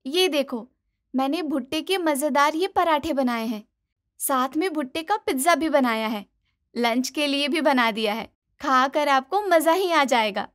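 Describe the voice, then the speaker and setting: A woman acts out a young bride in a cartoon family story. Her voice is high, eager and expressive, made for coaxing her in-laws and sulking a little when hurt. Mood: excited, proud. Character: eager young daughter-in-law, cheerful, pleading, easily hurt. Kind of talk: animated moral story dialogue.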